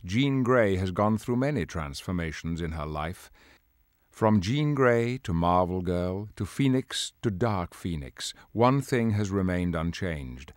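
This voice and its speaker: A man's voice: low-pitched, no room sound, clean studio sound. A man playing a grave, dignified leader of superhero mutants, delivering solemn, portentous dramatic narration for a video game. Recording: music under the voice. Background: music.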